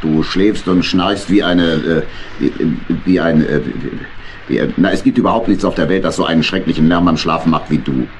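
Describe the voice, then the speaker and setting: Low-pitched male actor as old badger captain, irritable, blustering, children's audio drama. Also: normal speed, a little hiss or hum, close to an everyday voice. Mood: grumpy. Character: grumpy old uncle badger, riverboat captain.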